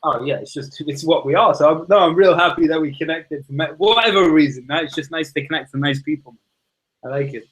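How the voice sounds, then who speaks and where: This is a man, talking in a loose, enthusiastic, rambling way. His voice sits a little on the high side for a man. He sounds like a guest in a relaxed podcast chat. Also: fairly quick, low-quality recording. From Liverpool, England. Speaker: podcast guest, success coach. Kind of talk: casual conversation.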